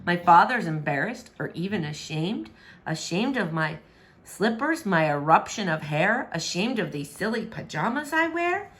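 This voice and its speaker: A woman's voice: fairly low pitch, big pitch swings, normal speed, fairly bright tone. A woman voicing a stubborn, earnest young princess in a children's verse storybook, with theatrical, exclamatory delivery. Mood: hurt, indignant.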